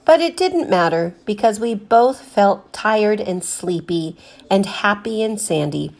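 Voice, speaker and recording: A woman with a mid-pitched voice reads a children's picture book aloud as a teacher would for her class. Her delivery is expressive, with deliberate emphasis on the words that matter to young listeners.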